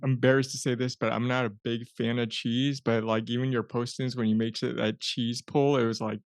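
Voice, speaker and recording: Male podcast host, smooth resonant voice, admiring, casual, dry sound. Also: medium pitch, little pitch movement, a little fast, dry recording. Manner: friendly, admiring questions. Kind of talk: casual interview questions.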